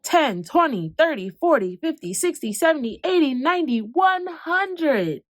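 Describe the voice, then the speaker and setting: A woman reading a children's picture book aloud, expressive, slowing down for calm moments and speeding up for excitement. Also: high-pitched, dry recording. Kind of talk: read-aloud storytelling.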